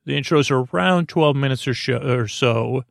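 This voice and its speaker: Male sleep-podcast host: gravelly, creaky and lulling, halting and digressive, recorded dry and close. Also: medium pitch, no echo. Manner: meandering, halting, trailing into tangents; soothing, gently lulling. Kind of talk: rambling sleep-aid podcast monologue.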